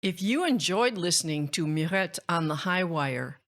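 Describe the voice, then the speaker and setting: A woman narrating a children's story aloud as host, in a measured, deliberate, expressive read-aloud style. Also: on the low side. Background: music.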